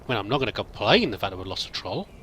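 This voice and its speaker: A man's voice: on the low side, very animated, fast, a bit bright, slight background noise. A man giving in-character let's play commentary, musing aloud and muttering to himself as he goes. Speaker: host of a roleplay let's play.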